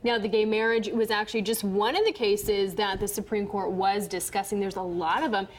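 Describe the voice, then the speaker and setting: A woman's voice: mid-range voice, fairly even intonation, brisk. An American woman working as a news anchor, moving between scripted reading and chatty banter.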